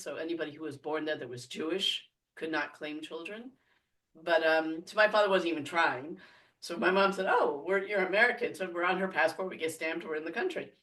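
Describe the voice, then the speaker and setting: A woman's voice: on the low side, a bit bright, far from the mic, poor audio. A woman from France, a podcast guest, telling a story casually with many fillers and frequent laughter.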